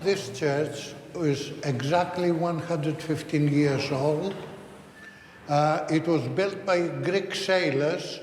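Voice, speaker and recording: Male church guide, slow, higher-pitched voice, long reverb, faint noise. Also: a little mellow. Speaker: church community chairman. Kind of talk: guide talk to visitors. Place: inside a church.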